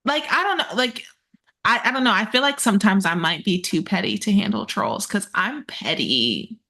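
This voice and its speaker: A woman's voice: mid-range voice, animated, normal speed, vocal fry, pushed and bright. A woman hosting a podcast, asking questions in a casual, blunt and profane way. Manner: blunt and profane, cuts in with agreement. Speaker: podcast host. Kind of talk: casual interview chat.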